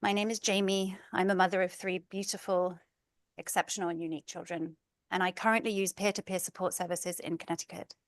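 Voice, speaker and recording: A middle-aged woman with an English accent gives a prepared talk as a community speaker, earnest and measured, a little nervous. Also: lowish voice, flat delivery, no room sound. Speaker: community speaker, parent advocate.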